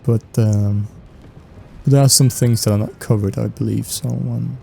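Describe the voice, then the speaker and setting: A man's voice: medium pitch, steady tone, moderate pace, vocal fry, mellow, slight background noise. A young Danish man with a Danish accent, chatting casually while playing, with many fillers and restarts. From Denmark. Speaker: gaming YouTuber. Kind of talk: casual gameplay chat.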